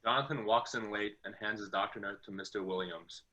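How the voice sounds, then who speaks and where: A man's voice: lowish voice, a little fast, bright, forceful tone, muffled recording. A man narrating stage directions in an amateur script read over a video call.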